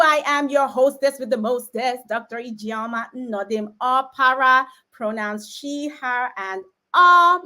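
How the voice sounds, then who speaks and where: A woman's voice: a little high, sharp tone. A middle-aged woman hosting an online roundtable over a video call, with exuberant greetings and a rhythmic, preacher-like cadence. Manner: exuberant, hype-style greetings and affirmations. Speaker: host of a roundtable.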